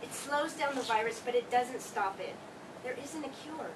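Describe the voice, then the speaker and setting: A woman in a staged play reading, playing a lively, teasing mother of three in her forties. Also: on the high side, normal speed, lightly acted. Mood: resigned. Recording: distant, noisy live stage recording.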